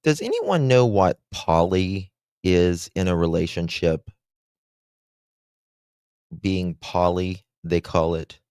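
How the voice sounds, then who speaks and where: A man hosting a true-crime livestream in casual chat, with a deep voice and a slow, halting way of talking full of fillers. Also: animated, a little mellow, smooth, no echo, clean studio sound. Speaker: livestream host.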